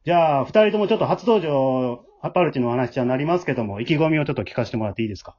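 A man's voice: mid-range voice, lively intonation, rapid-fire, darkish tone. A man with a Kansai accent hosting as leader of an amateur drama troupe, in a casual but polite style. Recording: dull lo-fi remote home recording.

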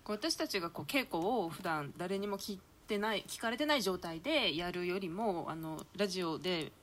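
A woman's voice: fairly low pitch, expressive, normal speed, fairly bright tone, slight background noise. A woman hosting a radio show, chatting casually with her guests and giving announcements in an encouraging, chatty way. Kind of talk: casual round-table chat with announcements.

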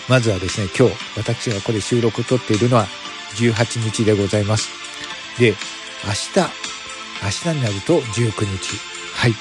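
A man hosting a podcast, making a casual announcement to listeners in polite, formal phrasing. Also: medium pitch. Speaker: podcast host.

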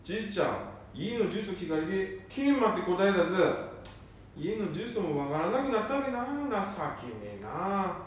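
A man playing a gruff middle-aged son with a Nanbu dialect in an amateur radio drama. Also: high voice, big pitch swings, moderate pace. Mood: flustered. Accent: Nanbu dialect, northeastern Japan. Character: middle-aged eldest son, gruff, embarrassed by his father. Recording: very echoey, distant, faint noise.

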